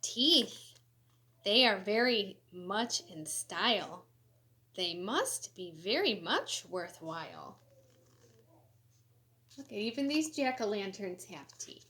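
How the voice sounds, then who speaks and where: Slow, animated woman reading to children. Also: mid-range voice. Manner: expressive, sing-song, deliberate storybook delivery. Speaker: elementary school educator reading aloud. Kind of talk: children's picture book read-aloud with brief asides.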